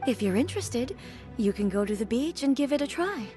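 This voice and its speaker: A refined young lady in a video game. She speaks politely and gently in a fairly high, expressive voice, with composed formality. Music plays behind her. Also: brisk. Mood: calm, encouraging. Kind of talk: English video game dub.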